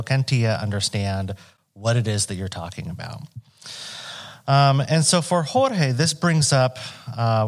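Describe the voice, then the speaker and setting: A man moderates an academic panel, his voice sitting on the low side. He works his way toward a question hesitantly, leaning on fillers like "uh" and "right.".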